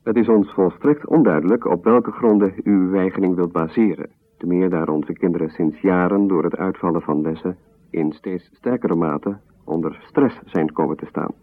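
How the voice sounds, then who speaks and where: Low-voiced formal letter reader. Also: male voice. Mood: formal, firm. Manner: formal, measured. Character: formal parent reading out a protest letter. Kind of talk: read-out formal letter in radio drama. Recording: narrow, phone-like old radio recording.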